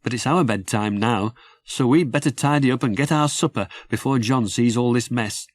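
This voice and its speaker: A man voicing a sensible, bossy girl rag doll, brisk and easily cross, in a children's storybook. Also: mid-range voice, no room sound, studio quality, close to an everyday voice.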